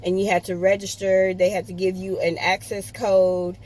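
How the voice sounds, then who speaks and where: A woman's voice: medium pitch, flat delivery, normal speed, darkish tone, low-quality recording. A woman talking casually to her vlog audience in a hesitant, anxious manner. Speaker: vlogger. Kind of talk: casual personal vlog talk. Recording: music bed behind the voice.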